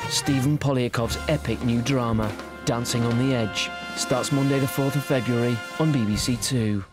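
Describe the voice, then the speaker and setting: A man's voice: mid-range voice, fairly even intonation, normal speed. A British man narrating a scripted television drama trailer. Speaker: trailer announcer. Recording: loud trailer music over voice.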